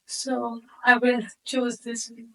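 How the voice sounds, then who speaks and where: A woman's voice: on the high side, monotone, slow pace, sharp tone, distant mic, rough recording. A woman introducing herself at a workshop in an earnest, hesitant way. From India. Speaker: workshop participant.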